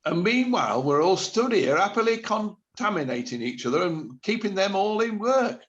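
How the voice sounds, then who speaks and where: A man's voice: high voice, very animated, normal speed, highs cut off. A man acting a sardonic, complaining shopper stuck in a queue in a radio comedy play. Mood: sardonic grumbling. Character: grumbling, sardonic man waiting in a shop queue.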